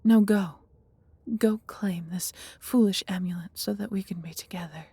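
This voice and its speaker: A woman voicing a huge, proud, protective female dragon in a fantasy audio roleplay, with breathy, husky delivery and tender, formal, theatrical phrasing. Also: medium pitch, steady tone, normal speed, mellow, dry recording. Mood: anxious, pleading.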